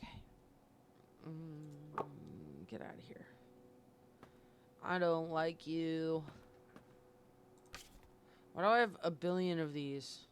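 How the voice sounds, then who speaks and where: A woman live-streaming a game with casual, sweary commentary and expressive reactions. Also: low-pitched, slow, pauses often, a little hiss or hum.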